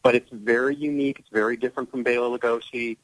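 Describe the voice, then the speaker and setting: A man's voice: medium pitch, steady tone, quick pace, no echo, rough recording. Dry-sounding man, earnest interview guest. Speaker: guest actor. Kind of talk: conversational radio interview.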